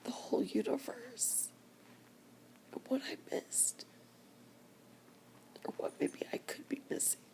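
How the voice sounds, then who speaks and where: An older woman crying softly as she talks to her camera in a personal vlog, whispering slowly with deep emotion. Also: very animated, creaky, poor audio. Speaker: vlogger.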